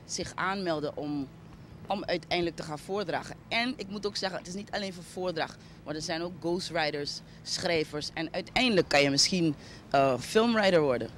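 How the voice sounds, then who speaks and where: Low Surinamese woman interviewed outdoors in street noise. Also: normal speed. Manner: reflective, explanatory, mixes in English words. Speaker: interviewee, arts foundation founder. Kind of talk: unscripted interview answers. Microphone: handheld interview microphone.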